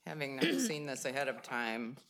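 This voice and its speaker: Older woman, very deep register, loud, inquisitive committee member. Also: very animated, normal speed. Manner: thinks aloud, probing questions. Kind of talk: casual meeting discussion.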